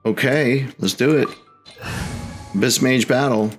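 A man's voice: medium pitch, unhurried, soft background music. A man giving casual let's play commentary as a gaming host, talking back to the game's characters. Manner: talks back to game characters.

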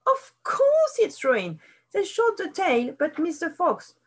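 A woman voicing a bossy, impatient farmer's wife in a storybook, giving orders in a sharp, commanding voice. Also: very high-pitched, huge pitch swings, takes it slowly, pitched above the performer's own voice, full character voice.

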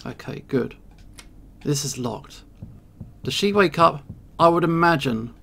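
Male let's player, slightly high pitch with strong creak, casual halting think-aloud commentary. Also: expressive, fairly bright tone, a little hiss or hum. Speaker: video game player and host.

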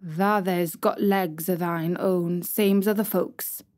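A woman's voice: lowish voice, steady tone, slow, darkish tone, no echo. A woman voicing a cheerful country boy with a Yorkshire accent who speaks in a stout, reassuring way.

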